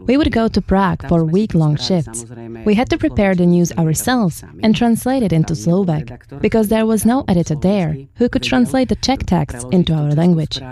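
A woman reading a translated voice-over in a calm, steady way. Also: mid-range voice, normal speed.

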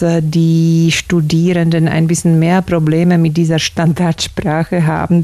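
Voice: medium pitch, gravelly, warm tone, dry recording. A university German lecturer from Slovakia, answering interview questions thoughtfully with frequent hesitations.

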